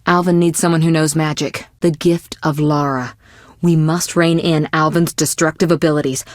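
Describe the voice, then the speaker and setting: A woman playing a poised, worldly young sorceress in a video game, caring but teasing and possessive, with measured, articulate delivery. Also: low voice, fairly even intonation, no room sound, clean studio sound, natural voice. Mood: serious, instructive. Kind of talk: scripted video game voice acting.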